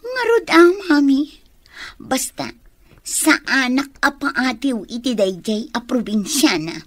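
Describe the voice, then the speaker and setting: A woman's voice: high-pitched, sing-song, slow, no echo, exaggerated voice. A woman with an Ilocano accent playing a coy, dutiful young office secretary in a radio drama. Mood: coy, excited.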